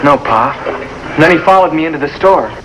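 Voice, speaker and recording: A man's voice: a little high, lively intonation, brisk, lo-fi sound, lightly acted. An actor playing a young, hot-headed but curious farm boy with a rural Southern American accent in a 1950s TV drama. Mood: defensive. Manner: folksy backwoods dialect. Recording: noisy old 1950s TV soundtrack.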